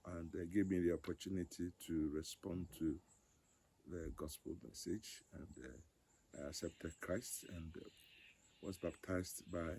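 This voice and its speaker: An older man from Ghana, a ministry director, telling his story in a measured interview with many pauses. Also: low-pitched, a little mellow, a little hiss or hum, poor audio. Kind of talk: interview testimony.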